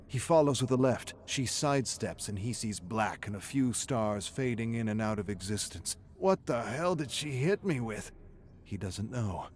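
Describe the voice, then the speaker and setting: A man with a fairly low voice narrates a gritty story in the present tense. His delivery is theatrical and tense, with faint noise behind the reading. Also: darkish tone.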